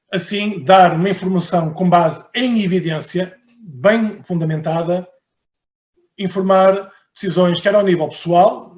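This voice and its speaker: Researcher, male, European Portuguese accent, slightly high voice, hesitant, roomy sound. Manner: hesitant, repeats words while thinking. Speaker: researcher giving a conference talk. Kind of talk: conference presentation and Q&A.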